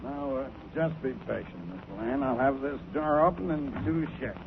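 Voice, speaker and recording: An American actor playing a gruff, self-important police commissioner with a blustery, condescending manner in a 1940s radio drama. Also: male voice, mid-range voice, moderate pace, lightly acted. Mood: brisk, exasperated. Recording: hissy, tinny 1940s radio broadcast.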